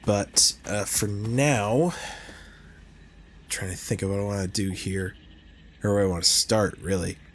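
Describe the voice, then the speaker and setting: Slow-talking man with a stuffy cold. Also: on the low side, steady tone, a little hiss or hum. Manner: rambling, thinking aloud, many fillers. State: nasal from a cold. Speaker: gaming channel host. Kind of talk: casual gameplay commentary.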